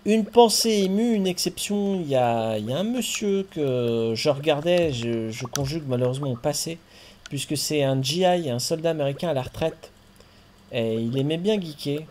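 Male streamer, chatty and playful, cusses lightly, relaxed gaming talk. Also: a little high, moderate pace, slight background noise. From France. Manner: chatty, jokey, playful swearing. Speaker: streamer and host. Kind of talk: casual chat while playing a farming game.

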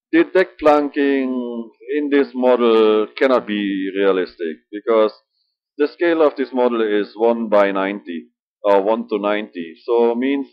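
A middle-aged man with a German accent, speaking halting English at home with many filler sounds and restarts. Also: mid-range voice, dry recording. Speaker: model ship builder. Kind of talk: casual hobby vlog. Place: small room indoors. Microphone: webcam at desk. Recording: dull lo-fi webcam audio.

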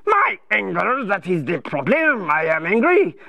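A blustering, dim little king played by a woman in a children's character show. He complains in a whiny, exasperated way, with big swings of pitch and a close, dry sound. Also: expressive, normal speed, dry recording. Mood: grumpy, confused. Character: grumpy, dim, hot-tempered little male king.

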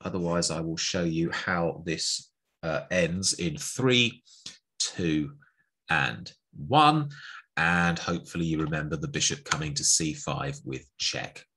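A middle-aged man with a low, husky voice and a bright, forceful edge. He talks through chess moves one at a time. His manner is chatty and playful. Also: expressive, takes it slowly, low-quality recording. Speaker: chess teacher. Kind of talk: chess lesson narration over an on-screen board.

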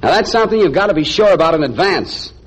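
A man's voice: fairly high pitch, animated, fast, husky, rough recording. An American man announcing on 1940s radio, reading a scripted sponsor's commercial in the sales style of the era. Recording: 1940s radio broadcast recording.

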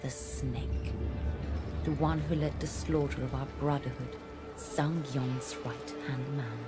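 An actress playing a young, vengeful female assassin in a video game, measured, solemn and intense. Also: a bass voice, steady tone, over loud music. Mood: somber. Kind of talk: dramatic game cutscene dialogue and narration.

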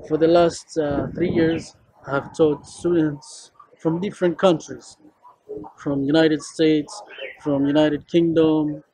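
Husky Kenyan man, friendly teacher. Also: a little high, little pitch movement, slow, warm tone, dry recording, rough recording. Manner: friendly, loose, with many fillers. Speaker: Swahili teacher. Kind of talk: casual course introduction to camera. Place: rooftop outdoors at evening.

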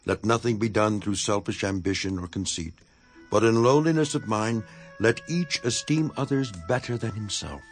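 Casting: male actor for earnest imprisoned apostle, lowish voice, measured and solemn, for dramatized Bible audio with musical backing. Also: soft background music, lightly acted. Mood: solemn exhortation. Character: Apostle Paul, imprisoned, earnest, devoted elder writing to his flock. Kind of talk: dramatized scripture reading.

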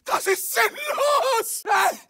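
A man's voice: very high-pitched, very slow, noisy background, heavily acted. A male actor playing a panicking, despairing German soldier in a war video game, screaming and pleading in anguish. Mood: panicked. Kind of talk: shouted video game battle voice lines.

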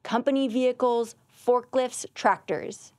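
Young woman giving clear, measured narration. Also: on the high side, darkish tone, a little hiss or hum. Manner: clear, measured, informative delivery. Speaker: assistant winemaker. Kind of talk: scripted educational narration.

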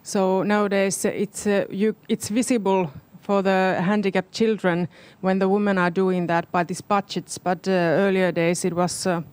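Smooth-voiced woman panelist, halting English. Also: mid-range voice, level tone, a little mellow, no echo. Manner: halting non-native English. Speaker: expert panelist. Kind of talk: spontaneous panel discussion remarks. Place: seminar auditorium on stage. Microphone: handheld microphone.

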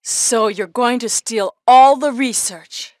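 An actress playing a brave young heroine in a video game, earnest and deliberate, with stilted delivery. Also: female voice, fairly high pitch, dry recording, studio quality. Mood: accusing. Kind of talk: video game cutscene acting.

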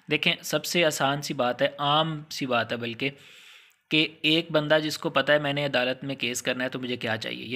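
A man with a Pakistani accent teaches in a bright, forceful voice at a middling pitch. He explains things informally, like a lecturer talking straight to students, and drops in English legal terms as he goes. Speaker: law lecturer.

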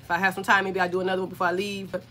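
A woman in her forties, hoarse and scratchy from being sick, chatting on a livestream from her home craft room and greeting viewers by name. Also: mid-range voice, level tone, racing, a bit bright. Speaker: craft channel host.

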